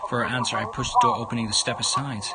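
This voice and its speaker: A man with a mid-pitched voice reads a visual novel aloud on a livestream. His delivery is halting, with stumbles over the words. Loud noise runs behind him. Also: steady tone. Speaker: game livestreamer.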